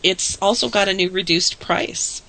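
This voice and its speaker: A woman co-hosting a casual chat show, talking about her writing, with her voice going hoarse. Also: mid-range voice, unhurried, pushed and bright, dry recording, poor audio. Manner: laughs often, emphatic agreement. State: losing her voice. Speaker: podcast co-host and writer.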